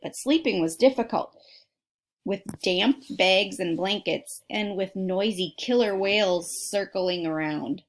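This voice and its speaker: Lady teacher doing a read-aloud for kids, mid pitch, puts drama into it, talks right to the listeners. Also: measured pace. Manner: dramatic emphasis, addresses listeners directly. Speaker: teacher reading a book aloud to students. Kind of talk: expressive read-aloud of a children's nonfiction story with asides. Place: at home.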